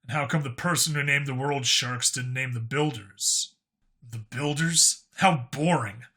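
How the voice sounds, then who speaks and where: A wisecracking human cop in a science fiction audiobook, acted by a man. His voice is mid-pitched and forceful, with a casual, grumbling delivery. He sounds irreverent and sarcastic. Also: unhurried, breathy edge.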